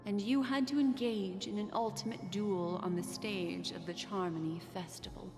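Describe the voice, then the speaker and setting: An actress playing an enigmatic, composed woman guide in a video game, speaking in a measured, deliberate and coy way. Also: mid-range voice, steady tone, lightly acted. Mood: mysterious, composed. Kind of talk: dramatic video game narration. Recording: long reverb, music behind.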